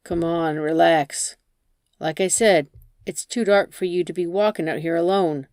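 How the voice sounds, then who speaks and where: A woman's voice: fairly low pitch, steady tone, measured pace, a little mellow, smooth, dry recording, clean studio sound, lightly acted. A woman narrator voicing a casual male driver who talks in a reassuring way, in an audiobook. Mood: cocky, casual. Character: easygoing male driver, cocky, turning nervous.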